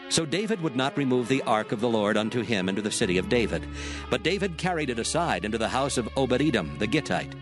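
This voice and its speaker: A man narrating dramatized scripture in a measured, formal way. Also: medium pitch, big pitch swings, music in the background.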